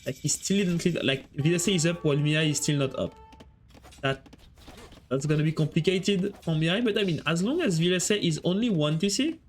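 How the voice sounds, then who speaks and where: A man's voice: fairly high pitch, normal speed, soft background music. A man with a French accent casting a strategy game on a live stream, chatting with viewers between plays. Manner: chatty, answers chat while casting.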